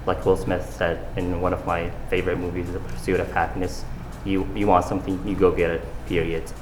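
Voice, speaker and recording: A man's voice: low-pitched, little pitch movement, brisk, roomy sound, noisy background. A young man from Saudi Arabia, answering interview questions in a casual, earnest way, with fillers and restarts. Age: young adult. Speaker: interview guest, fashion designer.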